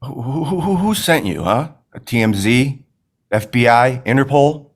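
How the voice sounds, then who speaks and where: A man's voice: mid-range voice, animated, measured pace, slightly rough. A man playing an unhinged, manipulative film director in a screenplay table read, with a stammering, theatrical, erratic delivery. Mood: suspicious, scornful.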